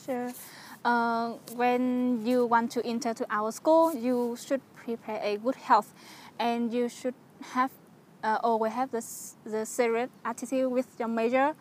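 A young woman student, answering questions hesitantly with many pauses and fillers. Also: fairly high pitch, fairly even intonation, a little hiss or hum. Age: young adult. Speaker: university student.

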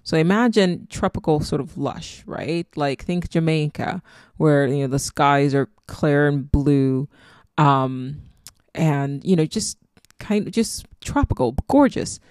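A woman's voice: low-pitched, slow, a little mellow, dry recording. Reflective Nigerian-accented woman, dry close sound. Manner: reflective, meandering, spiritual musing. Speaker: podcast host. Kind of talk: casual solo podcast monologue.

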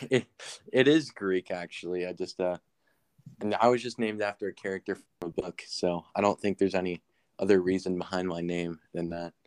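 A man's voice: sits low, fairly even intonation, normal speed, a little mellow, no room sound. A man chatting casually on a podcast call, laid-back and rambling, with many fillers. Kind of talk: casual chat.

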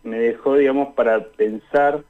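A young man with an Argentine accent talks casually as an interview guest, often searching for words. His mid-pitched voice comes through a slightly muffled, lo-fi remote call. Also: fairly even intonation, normal speed. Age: young adult. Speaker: radio interview guest, student. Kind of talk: casual conversational interview answers. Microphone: remote call to a radio show. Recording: dull, lo-fi call audio.

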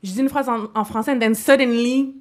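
A woman's voice: a little high, steady tone, a little fast. A woman with a Quebec French accent, a podcast guest who teases the hosts and makes her point with questions. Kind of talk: casual podcast chat.

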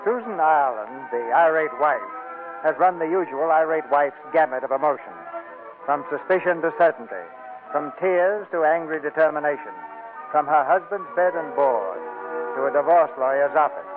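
A man's voice: a little high, animated, normal speed, music in the background. A man narrating as announcer in a formal, theatrical 1940s radio style, on a muffled old broadcast.

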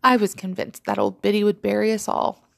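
A woman's voice: mid-range voice, sing-song, a little fast, vocal fry. A woman voicing a wry, forgiving middle-aged mother in an audiobook story, dry and matter-of-fact.

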